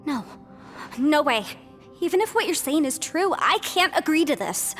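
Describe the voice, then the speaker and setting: A woman's voice: sits high, big pitch swings, moderate pace, over loud music. An actress playing an earnest, anxious young woman in a video game, flustered and emphatic. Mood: alarmed refusal.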